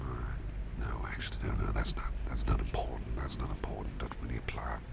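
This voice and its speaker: A man playing a caring, gentle boss in an ASMR roleplay, murmuring tender reassurance in breathy whispers with a gravelly rumble. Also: steady tone, fairly quick, exaggerated voice. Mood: gentle, focused. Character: caring, gentle male boss soothing a cursed employee.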